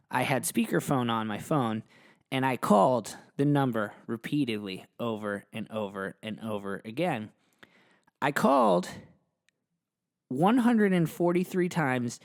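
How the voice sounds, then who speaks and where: A man hosting a podcast who tells a story in a casual, rambling way, with plenty of fillers. He sounds amused at himself as he goes. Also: mid-range voice. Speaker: podcast host.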